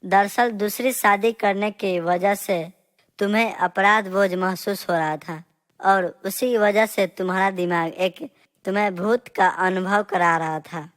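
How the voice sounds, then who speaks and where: Moral-story master, wise and calm, patient explainer, mid-pitched female voice actor. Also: unhurried, natural voice. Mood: calm, explaining. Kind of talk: scripted Hindi moral story acting.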